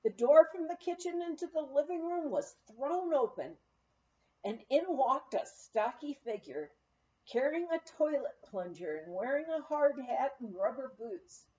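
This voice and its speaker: A woman's voice: high-pitched, measured pace, dull audio. A woman reading a humorous memoir aloud as an audiobook, telling it expressively like a storyteller. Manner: expressive storytelling, acting out quoted speech. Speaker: audiobook reader.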